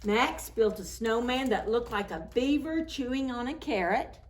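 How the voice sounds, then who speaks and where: A woman doing an expressive, playful picture-book read-aloud for preschool children. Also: on the high side, moderate pace. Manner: playful, acts out sound words. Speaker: children's storytime reader.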